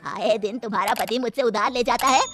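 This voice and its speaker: Elderly shopkeeper mother for a cartoon tale, woman acting, fairly high voice, gentle and put-upon. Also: brisk, music in the background, close to an everyday voice. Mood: firm, reproachful. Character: long-suffering, kindly old mother-in-law shopkeeper. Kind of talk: cartoon story dialogue.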